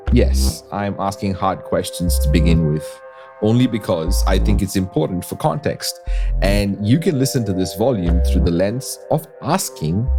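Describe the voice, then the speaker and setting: An Australian man hosting a podcast, speaking earnestly and asking the listener pointed questions. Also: on the low side. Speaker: podcast host. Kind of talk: scripted podcast narration.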